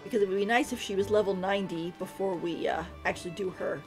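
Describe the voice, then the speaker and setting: A woman's voice: mid-range voice, fast talker. A woman giving casual live commentary as she plays a game, giggly and thinking aloud. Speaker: game streamer. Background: game music.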